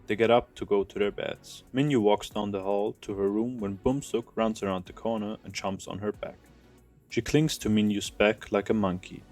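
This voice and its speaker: A man's voice: fairly low pitch, darkish tone. A man narrating an audio drama from a script, telling a story in the present tense.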